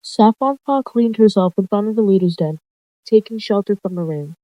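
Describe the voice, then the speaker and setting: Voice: medium pitch, animated, normal speed, dry recording, highs cut off. A storyteller reading out a scripted animal roleplay tale, narrating and voicing all the characters.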